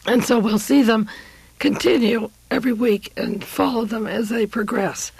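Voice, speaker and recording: Gravelly, high-pitched woman radio host. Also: fairly even intonation, darkish tone, no echo, low-quality recording. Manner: rambling, discursive, frequent pauses. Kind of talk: monologue on political conspiracies.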